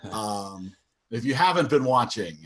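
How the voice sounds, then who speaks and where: A man with a bright, mid-pitched voice talks casually on a group video call. His manner is dryly jokey and a little mock-grandiose. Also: lively intonation, a little slow. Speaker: guest, wedding DJ.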